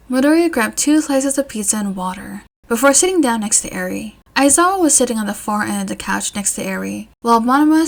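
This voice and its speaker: A woman's voice: fairly high pitch, gravelly. A woman reading scripted fiction aloud as an audiobook-style narrator. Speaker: podfic narrator.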